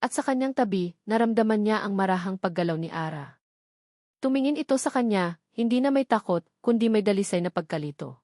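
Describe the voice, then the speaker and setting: Female audiobook narrator, medium pitch, dramatic scripted storytelling, close dry recording. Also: lively intonation, brisk, mellow, no room sound.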